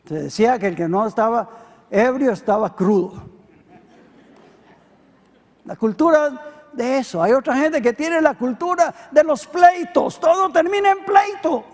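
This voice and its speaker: A middle-aged Costa Rican pastor preaching a sermon, swinging between loud emphatic peaks and quiet low asides. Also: male voice, a little slow, long pauses. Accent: Costa Rican Spanish. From Costa Rica. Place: church hall. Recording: long hall reverb.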